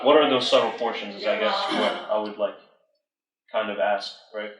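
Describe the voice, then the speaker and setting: A man's voice: fairly low pitch, steady tone, moderate pace, over a noisy crowd. A young man from northern Iran reading a passage aloud to a study group, then explaining it in a hesitant, casual way with many fillers. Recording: echoey room, mic far off.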